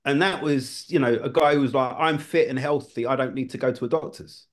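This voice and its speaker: A man, a former television producer, talking as a guest in a relaxed conversation, often restarting his phrases. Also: mid-range voice, fairly quick. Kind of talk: casual conversation.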